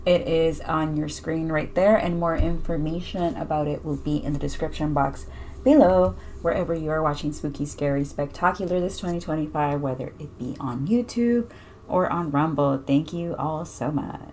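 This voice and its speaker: A woman's voice: sits low, normal speed, darkish tone, a little hiss or hum. A woman hosting a podcast, reading a listener's spooky anecdote in a conversational way.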